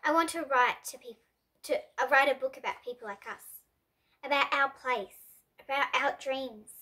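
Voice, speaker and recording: A young girl reads a children's story aloud in a high, clear voice. She adds expression to the dialogue, lifting her tone for the different characters. The sound stays bright and sharp throughout. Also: normal speed. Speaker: storybook reader. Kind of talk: reading a picture book aloud.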